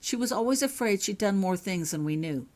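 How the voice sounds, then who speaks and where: A woman's voice: mid-range voice, expressive, fairly quick, darkish tone, lightly acted. A woman voicing an earnest, determined young male wizard in a storybook, with a blunt and urgent way of speaking. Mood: resolute.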